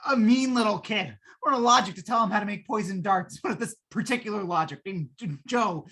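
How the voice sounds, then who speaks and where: A woman playing a frantic, hapless working man in an old-time radio drama, stammering and flustered in a husky voice. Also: medium pitch, fairly quick, fairly bright tone. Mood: frazzled.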